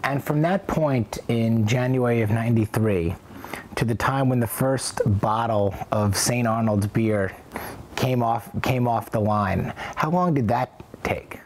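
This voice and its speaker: A man's voice: medium pitch, unhurried. A man interviewing a guest, asking short, plain questions. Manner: brief questions, short acknowledgements. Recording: loud background noise.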